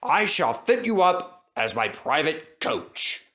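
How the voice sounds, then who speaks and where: A man's voice: a little high, big pitch swings, slow, fairly bright tone, exaggerated voice. A man voicing a stern railway director in a storybook, speaking formally and with authority. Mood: authoritative. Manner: authoritative, formal, bellowing when crossed.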